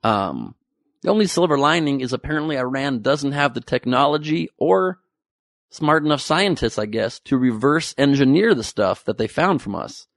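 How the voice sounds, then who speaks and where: A man's voice: medium pitch, lively intonation, moderate pace, creaky, dry recording, studio quality. A young man giving a comedic, profane monologue about the news with joking asides. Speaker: comedy commentary host.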